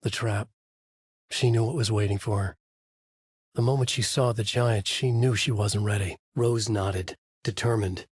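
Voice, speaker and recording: An actor playing a brooding writer who narrates his dark thriller in a grave, deliberate, noir style, as in a video game. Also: lowish voice, steady tone, slightly rough, no echo, clean studio sound. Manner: grave, deliberate, moody storytelling.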